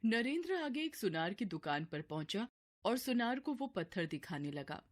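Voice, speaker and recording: Expressive woman storyteller. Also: on the high side, moderate pace. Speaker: storyteller narrator. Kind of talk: scripted story narration. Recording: faint music behind the voice.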